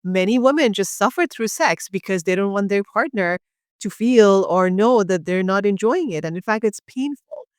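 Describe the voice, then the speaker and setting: A woman from Bangladesh speaks as a guest specialist, earnest and passionate, explaining medical matters in everyday terms and leaning on fillers as she goes. Her voice sits at a middle pitch and the recording is close and dry. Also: lively intonation, normal speed, no room sound. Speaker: urogynecologist guest.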